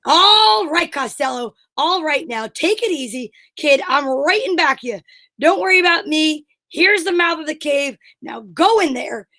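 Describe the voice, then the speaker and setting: A woman's voice: sits high, animated, measured pace, bright, forceful tone, heavily acted. A woman playing a bossy, cajoling male straight man in an old-time radio comedy sketch. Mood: coaxing. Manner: commanding, cajoling patter. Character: bossy, wheedling straight man Abbott, adult male comic.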